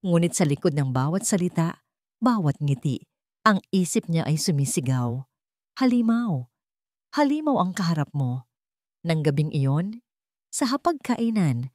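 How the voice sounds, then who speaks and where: A woman audiobook narrator reading a dramatic story with suspenseful, deliberate phrasing. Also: lowish voice, darkish tone, no echo, clean studio sound.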